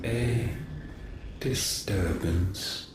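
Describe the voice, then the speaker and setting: An actor playing an ancient, imperious godlike villain, speaking slowly with a deep, menacing voice in a video game cutscene. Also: male voice, heavy background noise. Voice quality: deep, booming, echoing. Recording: heavy echo, distant, over loud music.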